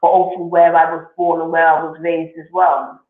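A woman, a musician answering interview questions in a relaxed way with many ums. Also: low voice, moderate pace. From Nigeria and England. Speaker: musician guest. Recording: echoey phone-like remote audio.

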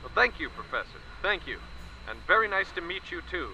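An actor playing a seasoned male war correspondent reporting live, plain-spoken with halting restarts. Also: sits high, sing-song, exaggerated voice, low-quality recording. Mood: calm. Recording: loud vehicle and wind noise.

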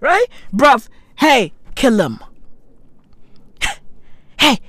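Slow, wildly swinging ranter. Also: male voice, extremely high pitch, very animated, dry recording. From South Africa. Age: 30s. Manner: exaggerated ranting with slang and sudden shouts. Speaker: comedy podcast host. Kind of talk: comedic rant.

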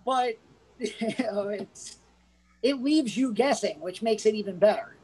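A woman's voice: on the high side, animated, unhurried, darkish tone, a little hiss or hum, rough recording. Chatty, enthusiastic woman reviewer. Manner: chatty and enthusiastic, thinking aloud. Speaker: podcast co-host. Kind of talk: casual movie review chat.

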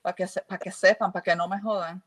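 A woman's voice: on the low side, fairly even intonation, brisk. A middle-aged woman chatting casually, laughing often and slipping into English. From Puerto Rico. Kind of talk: casual chat.

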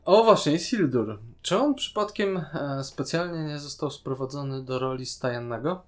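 A man's voice: a little high, expressive, normal speed. A man co-hosting a podcast, chatting casually and analytically as he critiques a series. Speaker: podcast co-host. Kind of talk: casual chat reviewing a TV series.

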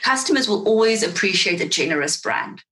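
A woman whose first language is Afrikaans, a marketing guest giving thoughtful, animated answers in an interview. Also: mid-range voice, a little fast.

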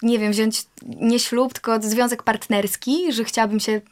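A woman's voice: a little high, steady tone, a little slow. A young woman from Poland, a guest in a casual interview, talking thoughtfully in long searching sentences with many fillers. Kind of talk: casual conversation.

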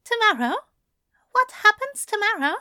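Very high, prim, flustered angel played by a woman. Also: big pitch swings, normal speed, bright, forceful tone, no room sound, studio quality, exaggerated voice. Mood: doubtful. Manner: prim, hesitant, apologetic, polite. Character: fussy, flustered, self-deprecating angel man, a reluctant yoga pupil. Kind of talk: audio fiction character acting.

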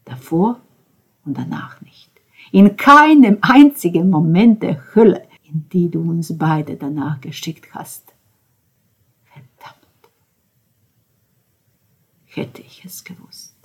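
A woman reading as a grieving, bitter young man, a drummer, in an intense first-person monologue that veers between hushed whispers and outbursts. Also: lowish voice, a little slow, breathy edge. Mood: anguished. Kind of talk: dramatic audiobook reading.

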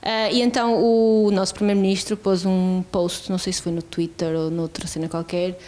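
A woman's voice: fairly low pitch, flat delivery, normal speed, warm tone. A woman hosting a podcast interview, asking long, loosely built questions. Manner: conversational, loosely phrased questions. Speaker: podcast host.

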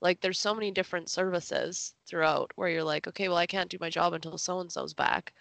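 A young woman co-hosts a casual podcast in a chatty, informal way. Her voice sits a little low and is thick with morning gravel. The sound is dry and close. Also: steady tone, a little fast, a bit bright, dry recording. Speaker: podcast co-host. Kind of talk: casual chat.

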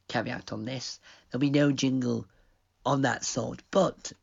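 A young man with a gravelly, fading voice rambles from one aside to the next in a pre-recorded radio intro. The sound is dull and lo-fi. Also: mid-range voice, steady tone, unhurried. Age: young adult. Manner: rambling, digressive. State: losing his voice. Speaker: radio station owner.

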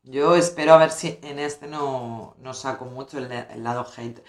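Mujer de voz muy grave, burlona. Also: female voice, very deep voice. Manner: teasing, wry, quick remarks. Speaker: podcast co-host. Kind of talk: casual chat. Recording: roomy echo.

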